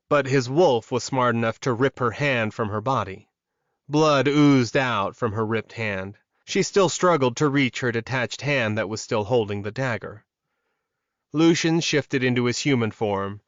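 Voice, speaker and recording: A man's voice: medium pitch, no echo. A man reading scripted dramatic fiction as an audiobook narrator, recorded close in a dry space with a slightly dull sound.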